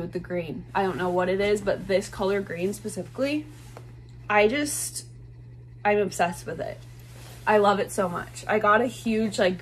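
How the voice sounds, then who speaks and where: A woman's voice: mid-range voice, a little hiss or hum. A woman in her twenties talking casually to camera in a small bedroom, gushing about thrifted clothes with lots of "like" fillers. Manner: chatty, gushing, many "like" fillers. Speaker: fashion vlogger. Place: small bedroom with bed, indoors.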